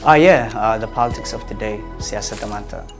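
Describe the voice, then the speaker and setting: A man's voice: fairly low pitch, brisk. A young man, talking hesitantly with many fillers in an interview. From Somalia. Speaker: interviewee, writer and actor. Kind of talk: casual interview. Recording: roomy with loud music behind.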